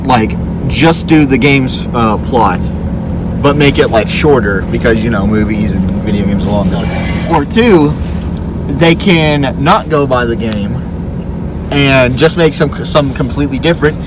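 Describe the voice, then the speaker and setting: A man hosts a casual review with an emphatic, repetitive delivery. His voice sits at a medium pitch. Very loud vehicle and street noise runs behind him. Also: a little slow. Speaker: host of a group movie review. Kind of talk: casual chat to camera.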